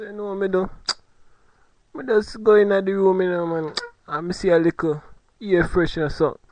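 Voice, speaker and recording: High-voiced man in mock Jamaican patois. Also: a bit bright, no echo. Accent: put-on Jamaican patois. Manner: mock-Jamaican swagger, teasing. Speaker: comedy podcast host. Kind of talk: comic banter and storytelling. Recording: lo-fi podcast audio.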